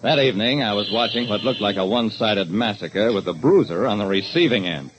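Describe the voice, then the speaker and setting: A man's voice: on the low side, expressive, a little fast, natural voice. An actor playing a terse, observant private detective, narrating his casebook in a clipped, dramatic 1940s radio style on a hissy old recording. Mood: dramatic, brooding. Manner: dramatic, clipped hard-boiled storytelling.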